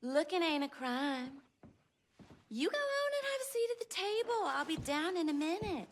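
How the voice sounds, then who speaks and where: A woman's voice: extremely high pitch, big pitch swings, background noise, heavily acted. An actress playing a brassy, creepily sweet backwoods farm woman in a video game, flirting and teasing in a Southern American accent. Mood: coy, teasing. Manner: coy, teasing, drawn-out. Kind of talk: video game character acting.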